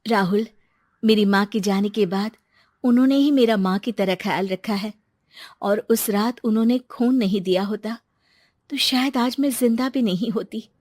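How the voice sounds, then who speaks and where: A woman's voice: medium pitch, moderate pace, darkish tone, dry recording, studio quality, lightly acted. A woman playing a grateful, devoted young wife in a cartoon story, speaking earnestly and respectfully.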